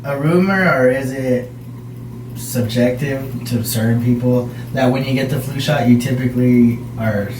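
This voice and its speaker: A man's voice: medium pitch, unhurried, darkish tone. A man in his twenties hosting a casual podcast chat, often restarting and trailing off mid-sentence. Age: 20s. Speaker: podcast host. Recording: roomy, distant mic, noisy.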